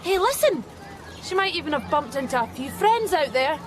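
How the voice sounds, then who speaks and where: A woman playing an eerie alien replica of a child in a radio drama, glib, taunting and unnervingly calm. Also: high voice, moderate pace, background noise. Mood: coolly menacing.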